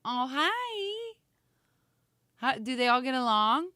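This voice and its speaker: A raspy-voiced woman with a Midwestern American accent, co-hosting a casual morning show over a video call and teasing her partner. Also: sits high, slow pace, dry recording, clean studio sound. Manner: teasing, chatty, animated with guests. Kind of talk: casual chat.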